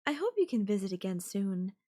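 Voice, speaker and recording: A woman playing a possessive, doting young yandere girlfriend in an intimate audio roleplay, speaking coyly and affectionately with lingering pauses. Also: mid-range voice, very animated, warm tone. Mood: doting, wistful.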